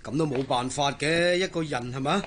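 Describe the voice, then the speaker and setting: Actor as earnest young Hong Kong sailor, higher-pitched. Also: male voice, normal speed, fairly bright tone, a little hiss or hum, lightly acted. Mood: candid, reflective. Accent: Hong Kong Cantonese. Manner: earnest, colloquial, solicitous. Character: earnest, devoted young sailor, kindly and plain-spoken. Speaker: actor in radio drama. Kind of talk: Cantonese radio drama dialogue.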